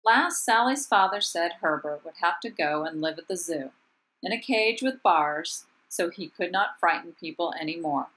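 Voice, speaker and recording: A woman reading a children's picture book aloud as a teacher would, with deliberate emphasis on key words. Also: on the low side, lively intonation, a bit bright, rough recording. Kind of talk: children's storybook read-aloud. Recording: voice over background music. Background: instrumental music.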